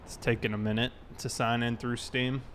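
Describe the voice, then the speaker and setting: A man with a mid-pitched voice streams a game and talks casually to his viewers. He greets people in a friendly, informal manner. There is clear noise behind him. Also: little pitch movement, moderate pace, fairly bright tone. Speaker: live streamer playing a police roleplay game. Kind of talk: casual livestream chat.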